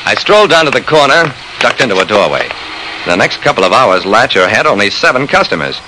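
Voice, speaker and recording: An actor as a lively hard-boiled radio detective. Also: male voice, mid-range voice, a little fast, lightly acted. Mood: measured, matter-of-fact. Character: shrewd, persistent male private investigator narrating his case. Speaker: narrator and lead actor. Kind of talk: old-time radio detective drama narration. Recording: noisy vintage radio recording.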